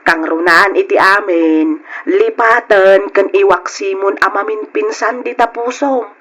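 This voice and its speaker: A woman playing a worried, gentle mother in an Ilocano radio drama, earnestly admonishing and pleading. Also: medium pitch, unhurried, husky. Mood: earnest, consoling.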